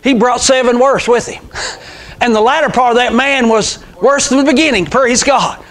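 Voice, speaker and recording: A man in his sixties preaches in a strikingly high voice. His delivery is fervent and informal, like an unscripted church sermon. He repeats key phrases for emphasis and puts questions to his congregation. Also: moderate pace, a bit bright. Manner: emphatic, repetitive, calls out amens and rhetorical questions. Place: small church sanctuary, indoors. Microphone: clip-on lapel mic.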